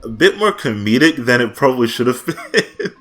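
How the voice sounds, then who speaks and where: A man's voice: mid-range voice, sing-song, normal speed, a bit bright, soft background music. A young man co-hosting a podcast in casual chat, laid-back and slangy, laughing often. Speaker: podcast co-host.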